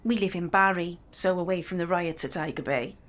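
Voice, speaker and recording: An actress playing a devoted, proud young mother in a period audio drama, with a gentle, candid manner. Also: on the low side, brisk, close to an everyday voice. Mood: polite, candid.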